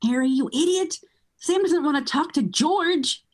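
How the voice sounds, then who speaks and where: A woman playing a nosy, bossy mother in an old-fashioned radio play, with shrill, scolding calls. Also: unhurried.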